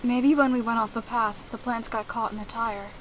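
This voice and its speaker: A woman acts out a secretive young female detective in an audio drama. She is unruffled, curt and emotionless, with a fairly high voice, recorded over background noise. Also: steady tone, moderate pace, close to an everyday voice, low-quality recording. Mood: cool, detached. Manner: cool, unruffled, curt, detached.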